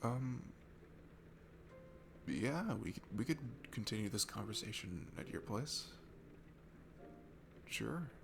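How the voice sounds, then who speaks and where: A man's voice: medium pitch, animated, slow, vocal fry, pauses often. A man playing a shy, sweet, nervous young guy at a bar in an intimate ASMR roleplay, stammering and hesitant. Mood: bashful, pleased. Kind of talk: ASMR roleplay audio, intimate one-sided conversation. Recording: music behind the voice. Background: music.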